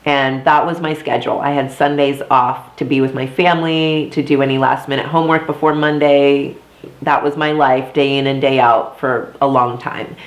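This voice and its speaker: A woman's voice: a bass voice, fairly even intonation, moderate pace. A woman in her thirties, a former theme park performer, chatting casually to camera with a slightly congested, nasal voice. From Florida, US. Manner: chatty, many fillers and asides. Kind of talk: casual talking-head vlog. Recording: echoey room.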